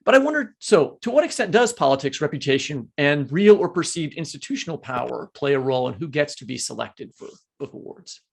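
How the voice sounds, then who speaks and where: A man's voice: fairly high pitch, animated, a little fast, creaky, hardly any pauses, husky. A man co-hosting a conversational literary interview, earnest and discursive, often correcting himself as he goes. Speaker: podcast co-host.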